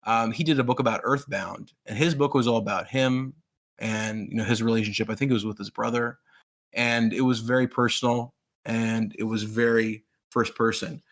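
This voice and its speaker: A man raised in Pakistan, in his thirties, chatting as a guest author in an interview. He rambles with plain enthusiasm, full of "you know" and false starts. His voice sits at an ordinary middle pitch for a man. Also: steady tone, moderate pace, fairly bright tone. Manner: rambling, enthusiastic, many fillers and restarts. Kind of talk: casual interview chat.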